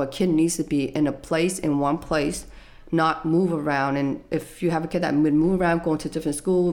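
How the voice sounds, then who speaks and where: A young woman with a low, soft voice tells her story in an interview. She talks hesitantly and pauses often with fillers. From United States. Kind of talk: personal life story interview.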